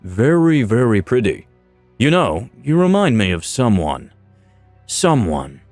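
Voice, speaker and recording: Guy doing a creepy crazed necromancer master for a fantasy story, pitch all over, dry sound with music. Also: very animated, a little slow, darkish tone, no echo. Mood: creepy musing. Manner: faking a child's voice when calling out, creepy and drawling. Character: deranged necromancer master in an acidic ooze, childishly gleeful.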